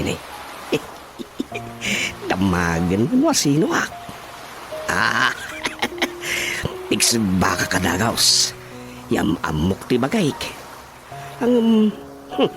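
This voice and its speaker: Man playing a giggly, lovestruck farmhand, swooping pitch. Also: full character voice. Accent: Ilocano. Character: giggly, lovestruck, simple-hearted male farmhand nephew. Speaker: radio drama actor. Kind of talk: Ilocano radio drama comedy acting. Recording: clear music behind the voice.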